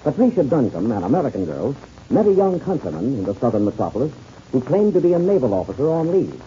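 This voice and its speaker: A man's voice: medium pitch, sing-song, fast, mellow. A man narrating a 1930s radio serial as its announcer, in a scripted storytelling style. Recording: muffled, noisy old radio recording.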